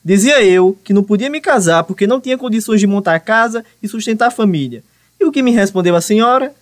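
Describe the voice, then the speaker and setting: A man playing a high-voiced, insolent Northeastern Brazilian son-in-law. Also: animated, fast talker, no echo. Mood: indignant. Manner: declamatory, sarcastic. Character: insolent, mocking young son-in-law who plays fiddle. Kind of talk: comic radio soap acting.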